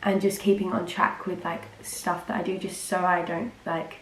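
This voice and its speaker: A teenage girl, slightly croaky from a cold, rambling casually to camera with lots of fillers. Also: on the low side, flat delivery, normal speed. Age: teens. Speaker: vlogger. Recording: roomy with faint ambient noise.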